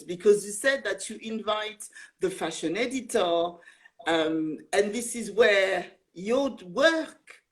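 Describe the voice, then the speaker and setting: A woman hosting a live social media interview, eagerly addressing her audience and repeating phrases for emphasis. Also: a little high. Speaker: host, interviewer.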